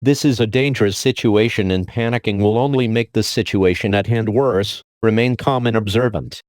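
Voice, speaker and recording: A man playing a calm, formal emergency alert announcer, reading a script in a measured, impersonal and grave way. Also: on the low side, no echo, studio quality, lightly acted.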